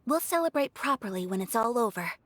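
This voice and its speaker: An actress playing a calm, thoughtful young noblewoman who speaks in a measured, formal way. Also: fairly high pitch, a little hiss or hum. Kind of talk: game cutscene dialogue.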